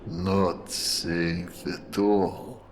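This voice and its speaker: A man playing a coolly sinister detective in a noir audio drama, speaking in a deliberate, insinuating way. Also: deep voice, little pitch movement, slight background noise. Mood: coolly menacing. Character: menacing male detective, slow, coolly sinister.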